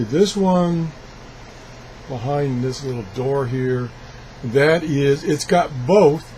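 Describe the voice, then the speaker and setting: A man giving a casual, unscripted product demonstration as a video blogger, thinking aloud haltingly as he handles things. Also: mid-range voice, dark tone, slight background noise, low-quality recording. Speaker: video blogger reviewing gadgets.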